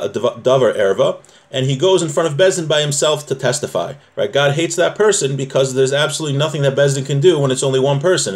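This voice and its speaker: A man teaching an informal class on religious law, reading from a text and explaining it point by point, repeating himself and checking that listeners follow. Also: on the high side.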